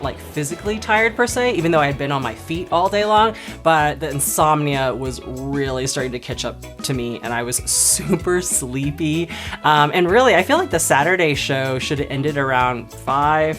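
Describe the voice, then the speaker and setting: A woman's voice: deep voice, fairly bright tone. A woman in her thirties narrating a casual vlog in a chatty, upbeat way, laughing often. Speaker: vlogger.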